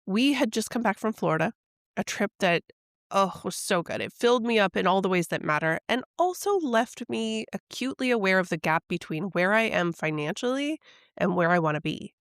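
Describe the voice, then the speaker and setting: A middle-aged woman hosting a podcast, telling a personal story in a soft, reflective, confessional way, her voice still recovering from a head cold. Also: mid-range voice, lively intonation, a little fast, dry recording, clean studio sound. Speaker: podcast host and intuitive coach.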